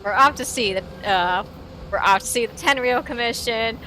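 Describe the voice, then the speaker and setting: A woman's voice: a little high, normal speed, background noise. A woman doing casual live gameplay commentary, talking to herself and playfully reacting aloud as she streams. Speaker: game livestreamer. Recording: game music under commentary.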